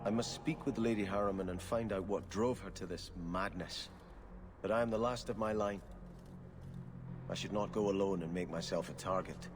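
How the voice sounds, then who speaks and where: A man's voice: low voice, moderate pace, music in the background, lightly acted. A man playing an earnest, courteous exiled prince in a fantasy video game, speaking formally. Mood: troubled, earnest.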